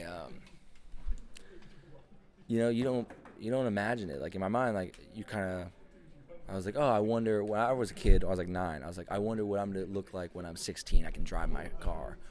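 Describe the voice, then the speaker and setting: A man's voice: low-pitched, brisk, a little mellow. A man in his twenties hosting a podcast, rambling loosely and swearing casually, recorded in an airport. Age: 20s. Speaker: podcast host.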